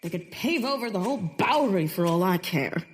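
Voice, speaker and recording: A woman's voice: medium pitch, lively intonation, fairly quick, husky. An actress playing a bitter, theatrical Victorian woman poet in a comedic period audio drama, with a haughty, sardonic delivery. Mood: haughty, wry.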